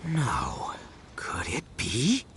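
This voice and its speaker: A man's voice: medium pitch, wildly swooping pitch, extremely slow, fairly bright tone, slight background noise, exaggerated voice. An actor voicing a boastful young fisherman in a game, brash and competitive. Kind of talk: game cutscene voice acting.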